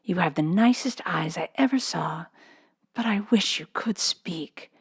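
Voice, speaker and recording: A woman's voice: on the low side, measured pace, breathy edge, exaggerated voice. A woman voicing a serious, imaginative little girl in a children's storybook, earnest and whimsical. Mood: tender, earnest. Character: imaginative, serious little girl confiding about her doll.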